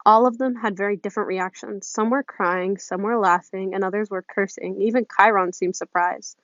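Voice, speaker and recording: A woman's voice: mid-range voice, fairly even intonation, normal speed. A woman reading fan fiction aloud in an informal, amateur way, recorded on a phone. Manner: informal, giggly when flubbing lines. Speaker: amateur podfic reader. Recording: muffled, roomy echo, phone recording.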